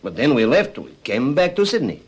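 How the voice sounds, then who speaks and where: An actor playing a nervous foreign accomplice, terse and defensive, in an old-fashioned radio drama. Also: male voice, mid-range voice, big pitch swings, a little fast, breathy edge. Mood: uneasy. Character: nervous foreign male accomplice under questioning.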